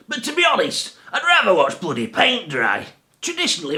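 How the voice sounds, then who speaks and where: A man's voice: high-pitched, pushed and bright. A British man presenting an informal radio hobby explainer in a blunt, emphatic, mildly sweary way. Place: home garage.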